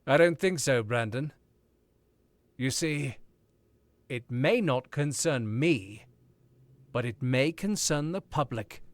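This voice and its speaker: A man playing a cool, measured male author in a 1940s-style radio play, calmly closing in on a murderer. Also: medium pitch, no room sound. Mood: coolly menacing. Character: suave, probing older male author.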